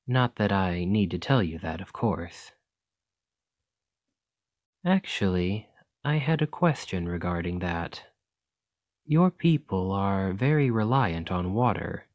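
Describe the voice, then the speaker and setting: A man playing an earnest, chatty scholar in an ASMR roleplay, speaking gently and hesitantly as he rambles and interrupts himself. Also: fairly low pitch, dry recording. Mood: gently concerned. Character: earnest, rambling male scholar gently reassuring a captive creature.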